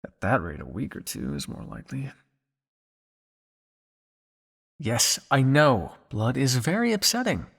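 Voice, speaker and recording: A man playing a weary, guilt-ridden old vampire scientist in an ASMR roleplay, speaking to the listener in a measured, dryly formal way. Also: mid-range voice, huge pitch swings, creaky, fairly bright tone, long reverb tail, heavily acted. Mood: urgent, firm.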